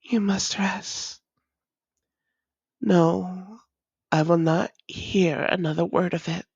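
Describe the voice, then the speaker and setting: Woman playing a slow, low-voiced, tender female vampire lover. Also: steady tone, creaky, darkish tone, slightly rough, no room sound, lo-fi sound, heavily acted. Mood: yearning, devoted. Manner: tender, formal old-fashioned phrasing, often drops into whispers. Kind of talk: intimate romantic audio roleplay, slow and breathy.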